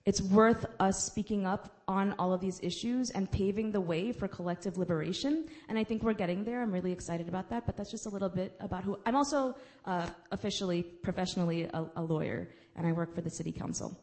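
A woman's voice: medium pitch, a little fast, hardly any pauses, a little mellow. A woman from the United States, a lawyer and community organizer, speaking earnestly and personally on a panel to a college audience. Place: college event room.

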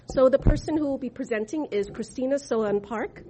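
A woman introducing a student presenter, reading a prepared introduction in a measured way. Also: high voice, dark tone, slight background noise. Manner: measured, read from a script. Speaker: event moderator introducing the speaker.

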